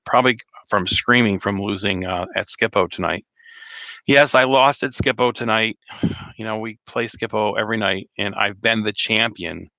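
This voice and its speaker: A slightly hoarse man chatting casually on a live stream, rambling with many fillers and restarts. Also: lowish voice, pushed and bright, dry recording. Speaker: RV vlogger hosting a live chat.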